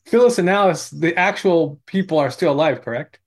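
Slightly high-voiced man chatting casually. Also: a little fast. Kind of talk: casual radio conversation.

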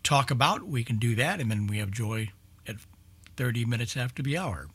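A man's voice: medium pitch, lively intonation, moderate pace, fairly bright tone, breathy edge. A man in casual group conversation, talking as a co-host of an old-time radio program.

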